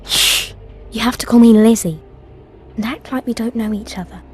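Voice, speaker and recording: A woman's voice: on the high side, moderate pace, noisy background, lightly acted. An actress playing a brave young girl who talks in a decisive, conspiratorial way in a video game cutscene. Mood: hushed urgent.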